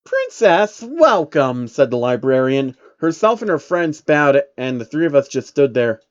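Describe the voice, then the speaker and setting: A man's voice: medium pitch, moderate pace, smooth. A man reading a story aloud as narrator with casual asides, on slightly dull audio. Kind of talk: fan fiction reading with asides.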